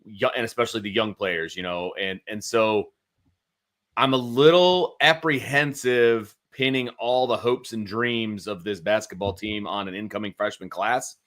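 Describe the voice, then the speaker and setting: A man's voice: on the low side, normal speed, a bit bright. An American man co-hosting a sports talk show, giving casual analysis and informally talking through his reasoning.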